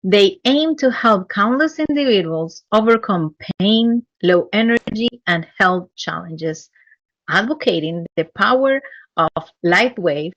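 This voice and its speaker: Woman, podcast host, measured and hesitant, slow delivery, muffled lo-fi audio. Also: fairly low pitch, a bit bright, dry recording. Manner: measured, earnest, hesitant with ums. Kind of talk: interview, reading guest bio.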